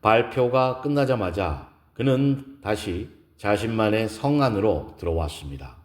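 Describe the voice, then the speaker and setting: A man with a fairly low voice reads a scripted romance story. His delivery is slow and solemn, with measured pauses between phrases, in the manner of dramatic audiobook storytelling. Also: animated.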